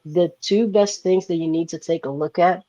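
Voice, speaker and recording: A man's voice: high-pitched, fairly even intonation, moderate pace, dark tone, no echo, muffled recording. A young man from the Philippines answering interview questions casually, explaining with lots of fillers and "right?" checks. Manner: loose, filler-heavy explaining with frequent "like" and "right?". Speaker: guest entrepreneur.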